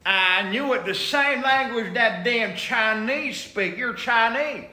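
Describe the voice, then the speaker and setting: A man's voice: extremely high pitch, slow, roomy sound, heavily acted. A man playing a blustering, ignorant US senator who badgers a witness in a comedy sketch. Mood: triumphant. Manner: badgering, mocking, loud. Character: blustering ignorant male US senator, pushy interrogator.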